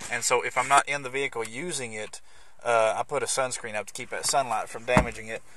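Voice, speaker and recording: A man giving a casual, hands-on walkthrough as a video host, with somewhat halting, hesitant phrasing. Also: medium pitch, fairly even intonation. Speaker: host of a how-to video on CB radio installation. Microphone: handheld camera's built-in microphone. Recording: clicky handheld camera audio, background noise.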